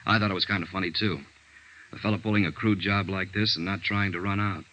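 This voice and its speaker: A matter-of-fact male auditor, calm, confident and conversational. His voice is deep, with a bright edge. It is heard on an old radio drama recording with faint noise. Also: quick pace, muffled recording. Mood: casually puzzled. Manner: plain, conversational, businesslike. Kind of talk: 1950s radio drama acting.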